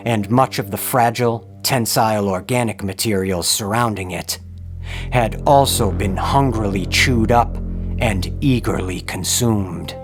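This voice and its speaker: A man performs a grim crime narrator in an audio horror drama. He reads formally, with measured, ominous phrasing, while music plays underneath. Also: lowish voice. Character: grim male true-crime narrator of a gruesome murder case.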